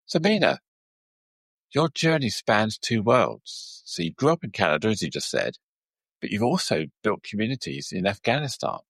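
A man's voice: on the low side, sing-song, moderate pace, fairly bright tone, breathy edge, no echo. A man hosting an interview, asking long questions with some hesitation and repeated words.

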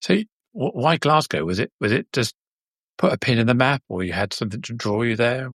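A man's voice: medium pitch, lively intonation, brisk, a bit bright, no echo, studio quality. A man hosting a show, interviewing in a casual, conversational way with a hesitant, rambling delivery.

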